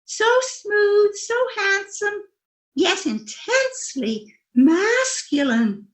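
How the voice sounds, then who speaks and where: A ditzy, dreamy sitcom wife in a very high, girlish voice. She gushes innocently and takes her time, in old-time radio comedy style with a roomy echo. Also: sing-song, drawn-out words, full character voice. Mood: dreamy, giggly. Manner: naive, gushing, innocent delivery of absurd remarks. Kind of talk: re-enacted 1940s radio comedy acting. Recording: roomy, echoing voice recording.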